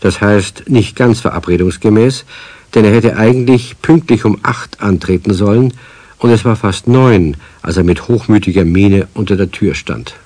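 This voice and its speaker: A man's voice: on the low side, moderate pace, dark tone, no echo, lightly acted. A man narrating a children's radio story as a storyteller.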